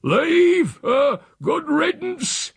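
Storybook old uncle: rural English, cantankerous, roguish, very husky, swooping wildly in pitch. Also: male voice, wildly swooping pitch, slow pace, no echo, studio quality, full character voice. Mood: goading, defiant. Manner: goading, blunt, dialect-speaking.

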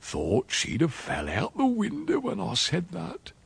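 Guy doing a crusty old country uncle, gravelly and husky, slow drawl, voice swoops all over, dry sound. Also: on the high side, huge pitch swings, no room sound, exaggerated voice. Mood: chuckling. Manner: slow, chuckling drawl with dialect grammar. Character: sly old country uncle, boastful rustic yarn-spinner. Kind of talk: storybook reading.

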